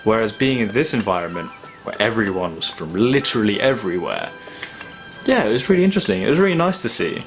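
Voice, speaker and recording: Low-voiced young man, casual vlogging over music. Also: sing-song, fast. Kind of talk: casual reflective vlog monologue.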